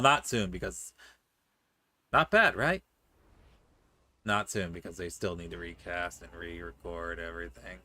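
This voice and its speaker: A man's voice: deep voice, bright, forceful tone, slight background noise. A man giving casual live commentary as he streams a game, making wry asides. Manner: reads game dialogue aloud, with wry asides. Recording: music behind the voice. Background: game music.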